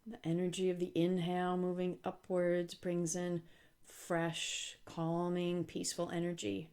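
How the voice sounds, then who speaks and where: A woman leading a guided relaxation as a yoga instructor, speaking calmly and gently with deliberate pauses. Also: lowish voice, smooth.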